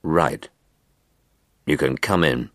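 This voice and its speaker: A grudging older man as a male storyteller acts him speaks low and dully. He is terse and reluctant, a gloomy figure from an audiobook, heard close and dry. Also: slow, no room sound. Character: glum older man, grudging, obsessive hoarder of bones.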